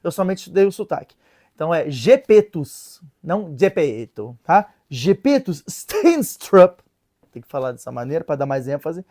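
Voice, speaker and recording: A woman presenting a casual lesson in an animated, joking way, stopping to read and translate sources. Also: low voice, normal speed. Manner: animated, playful, joking asides; reads and translates sources aloud. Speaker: religious video presenter.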